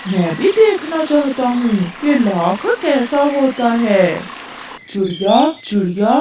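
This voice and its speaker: A woman's voice: medium pitch, dark tone. A woman voicing a humble male pigeon neighbour in a cartoon, curious and plain-spoken. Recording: echoey, noisy, distant microphone.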